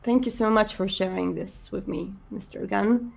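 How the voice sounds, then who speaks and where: A woman's voice: medium pitch, gravelly, darkish tone. Woman playing a suave, formal vampire prince. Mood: polite, probing. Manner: formal, measured, polite. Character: suave, courteous male vampire prince, quietly commanding.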